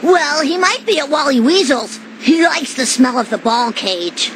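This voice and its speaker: A woman's voice: sits high, expressive, measured pace. A woman voicing a nerdy, lovesick young boy, hesitant and awkward, in a cartoon game. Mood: awkward, hopeful. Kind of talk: animated game cutscene dialogue. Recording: loud noise behind the voice.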